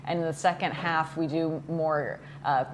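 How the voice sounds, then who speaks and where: Low-voiced woman explaining. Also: fairly even intonation, a little slow, a little hiss or hum. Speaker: dance club representative and guest. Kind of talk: explanatory interview chat.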